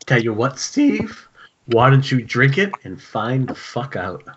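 Man doing a leering villain, menacing, boozy tempter, medium pitch, muffled call audio. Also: sing-song, a little slow, a bit bright, slight background noise. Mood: sly, goading. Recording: dull lo-fi internet call.

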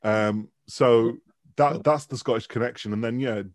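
A man's voice: mid-range voice, moderate pace, no echo. Chatty Mancunian man, dry sound. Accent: Mancunian with some Dundee. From Manchester, England. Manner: chatty, rambling, laughs easily. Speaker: guest, university lecturer. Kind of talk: casual interview chat.

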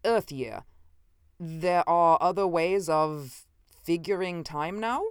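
A man playing a gaunt, intense scientist from another time, unnerved and cruel, speaking slowly and commandingly. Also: on the high side, smooth, dry recording, clean studio sound, voice pushed up. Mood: insistent. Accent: odd, unfamiliar. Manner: slow, deliberate, commanding.

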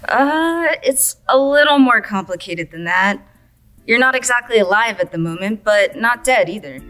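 A woman's voice: fairly high pitch, lively intonation, normal speed, a bit bright, faint music behind. A woman playing a laid-back but needy coma patient who greets newcomers, flippant and slangy, in an audio drama. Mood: matter-of-fact. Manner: flippant, slangy, easily offended.

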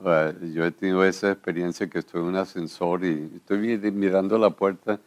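Low-voiced pastor, earnest. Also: male voice, fairly even intonation, fairly quick. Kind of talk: church radio conversation.

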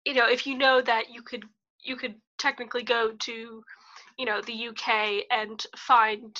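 A woman interviewing in a casual, halting, chatty way, recorded on muffled lo-fi audio. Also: a little high, level tone, sharp tone. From outside the European Union.

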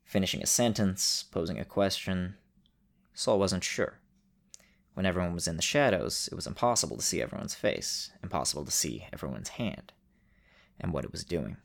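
A man with a creaky voice, speaking as the storyteller and host of an audio drama show. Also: low voice, animated, moderate pace. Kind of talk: narration and casual host talk.

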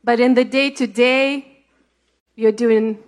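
Animated woman with a Russian accent. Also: fairly high pitch, slow. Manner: animated, laughing, storytelling with quoted thoughts. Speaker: ministry leader sharing testimony. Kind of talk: conversational church talk.

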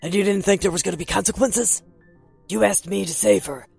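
A man playing a menacing, bitter, cocky villain in an audio drama, with a raspy, taunting delivery. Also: high-pitched, a little fast, darkish tone. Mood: angry taunting. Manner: taunting, swearing, theatrical. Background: music.

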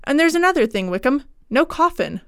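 A woman voicing a male country clergyman in a storybook reading, formal, brisk and authoritative. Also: high-pitched, very animated, dry recording, studio quality, pitched above the performer's own voice. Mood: grave, businesslike.

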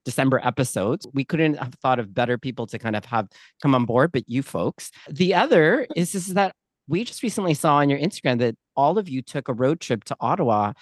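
A woman's voice: a bass voice, lively intonation, brisk, dry recording, clean studio sound. A woman born in the Philippines, co-hosting a podcast, chatty and upbeat with rambling asides. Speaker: podcast co-host. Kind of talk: casual chat.

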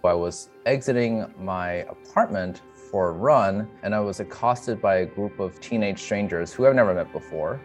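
Young man, lowish voice, earnest, measured personal storytelling, music underneath. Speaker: emergency physician, interview guest. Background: instrumental music.

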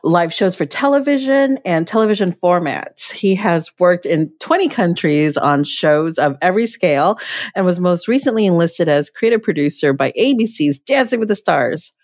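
A woman's voice: lowish voice, expressive, moderate pace, a little mellow, dry recording, clean studio sound. A young woman co-hosting a podcast, chatting loosely and reading a guest's introduction with giggles. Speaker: podcast co-host. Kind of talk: casual chat.

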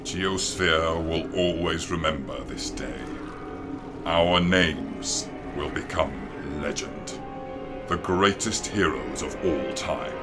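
An extremely low-voiced, aged alien monarch, proud and fanatical. He declaims slowly and solemnly, like a doomed ruler in a sci-fi radio play, over loud music. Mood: calm, grandiose. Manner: grandiose, slow, solemn declaiming. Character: fanatical old alien king, proud, grandiose, doomed father. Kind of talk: sci-fi audio drama acting.

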